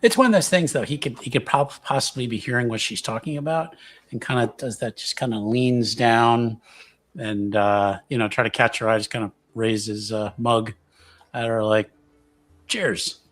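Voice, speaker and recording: A man's voice: mid-range voice, normal speed, a bit bright. A man playing a tabletop roleplaying game, chatting informally as he describes what his character does.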